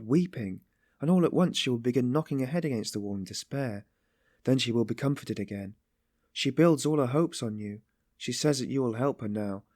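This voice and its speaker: A man's voice: medium pitch, darkish tone, dry recording, studio quality, pitched above the performer's own voice, natural voice. A man voicing an anguished, meek but passionately compassionate young woman, pleading in breathless exclamations and repetitions for an audiobook story.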